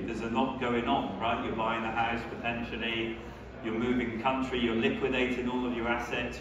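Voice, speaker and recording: A man in his thirties from France presents a seminar, chatty and joking with the audience. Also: medium pitch. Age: 30s. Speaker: seminar speaker, company founder. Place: large exhibition hall. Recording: echoey hall, speaker far from mic.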